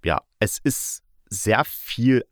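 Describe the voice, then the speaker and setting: A man's voice: medium pitch, expressive, extremely slow, no echo, clean studio sound. A man giving a casual spoken review, chatty and thinking aloud as he goes. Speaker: reviewer of audio dramas.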